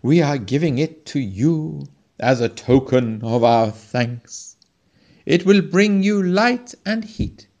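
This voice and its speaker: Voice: medium pitch, animated, takes it slowly, darkish tone, muffled recording. A storyteller voicing a gracious male animal king who gives thanks in a solemn, formal way. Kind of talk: storybook reading.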